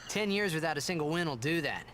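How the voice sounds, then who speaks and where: A man's voice: a little high, expressive, fast talker, noisy background, close to an everyday voice. A man voicing a cocky, eager teenage sports star in a video game, brash and emphatic. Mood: teasing. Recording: game audio with music over it.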